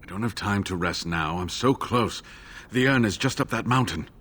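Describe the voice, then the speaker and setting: Deep-voiced, weary scholarly monk. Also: male voice, breathy edge. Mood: urgent, determined. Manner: halting, earnest, expressive. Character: injured, earnest scholarly monk, devoted and weary. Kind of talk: dramatic video game dialogue.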